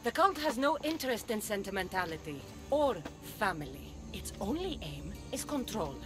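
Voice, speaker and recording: A woman's voice: mid-range voice, sing-song, moderate pace, over music, natural voice. A woman playing a fierce young demigod cult warrior in a video game, contemptuous and threatening as she talks. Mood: cold scorn. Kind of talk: video game dramatic acting.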